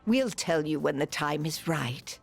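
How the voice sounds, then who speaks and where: Kind fussy game lady, lilting gentle delivery, music underneath. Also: deep voice, expressive, unhurried, creaky, full character voice. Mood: soft regret. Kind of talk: video game voice acting.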